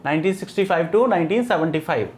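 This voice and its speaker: A man's voice: on the high side, expressive, racing, a little hiss or hum. A man with an Indian accent teaching an exam-preparation lesson in a didactic way, repeating key points and often checking in with short tags. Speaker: English literature lecturer. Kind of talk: exam-preparation lesson mixing explanation and read-out questions.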